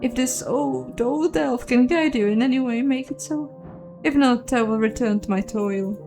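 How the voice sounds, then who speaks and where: A woman's voice: sits high, normal speed, gravelly, music in the background, voice pushed up. A woman voicing a weary, solitary old elf druid in a fantasy game, solemn and cryptic, with formal phrasing.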